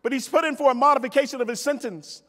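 High-pitched American man speaking in a big hall. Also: fast talker, fairly bright tone. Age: middle-aged. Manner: emphatic, with repetition for effect and direct address to the audience. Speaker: keynote speaker. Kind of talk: motivational keynote storytelling. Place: on stage in a large convention hall. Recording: reverberant large hall PA sound.

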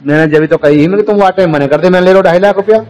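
A man's voice: sits high, fast, darkish tone. A man with a rural western Uttar Pradesh accent talking informally on a phone recording, placating and often repeating himself. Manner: placating, repetitive, murmured agreement. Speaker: village head on a phone call. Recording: leaked phone call recording, music behind.

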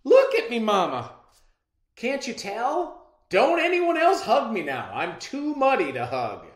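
A man's voice: very high voice, huge pitch swings, a little slow, pitched above the performer's own voice, exaggerated voice. Man playing a hearty, folksy country dad. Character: easygoing country father, hearty, plain-spoken. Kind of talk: storybook character reading. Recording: roomy echo.

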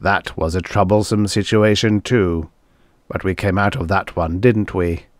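A man's voice: deep voice, steady tone, unhurried, no room sound, studio quality. A man voicing a grim, domineering show producer who speaks in a harsh, solemn, coldly calculating way in a story reading. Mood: grim resignation.

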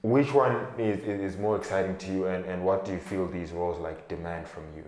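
A male interviewer poses his questions haltingly, with many restarts and repeated words. His voice is low. The room around him echoes. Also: fairly even intonation, a little mellow. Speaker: interview host.

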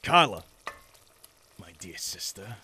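A man playing a coldly authoritative, controlled crime boss in a noir radio drama, speaking slowly and deliberately. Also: lowish voice, sing-song, sharp tone, slight background noise, full character voice. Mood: cold restraint.

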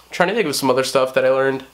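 Brief: hoarse young guy vlogging from his bedroom, medium pitch, loose and rambling. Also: fairly bright tone. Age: young adult. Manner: rambling, many fillers and "like"s. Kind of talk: casual talk to camera. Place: small bedroom, indoors. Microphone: camera on tripod at close range.

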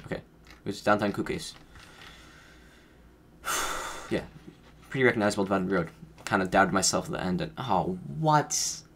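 Low-voiced man thinking aloud while gaming. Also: a little slow, long pauses, fairly bright tone, slight background noise. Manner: rambling, self-correcting, thinking aloud while guessing. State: under the weather, voice a bit off. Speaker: gaming streamer. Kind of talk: casual play-by-play commentary.

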